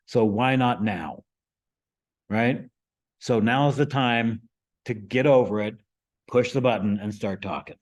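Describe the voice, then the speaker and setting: A man's voice: medium pitch, unhurried, pauses often. An American man giving an informal instructional presentation to a group, conversational, often checking in with short questions. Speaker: presenter, amateur radio instructor.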